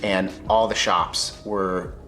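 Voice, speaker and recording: A young American man narrating his own vlog in a clear, steady presenter's delivery. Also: low-pitched, takes it slowly, soft background music. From United States. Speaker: YouTuber.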